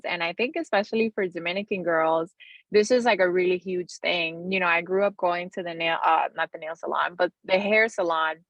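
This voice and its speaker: A woman's voice: on the low side, a bit bright, no echo, highs cut off. A woman telling personal stories casually as an interview guest, peppering her talk with "like" and "you know". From Bronx, New York City. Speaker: interview guest, company founder.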